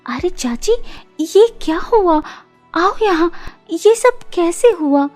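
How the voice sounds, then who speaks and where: A woman's voice: very high-pitched, lively intonation, takes it slowly, darkish tone, soft background music, voice pushed up, full character voice. A woman voicing a kind, selfless young elder sister in a moral story, earnest and reassuring. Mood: concerned.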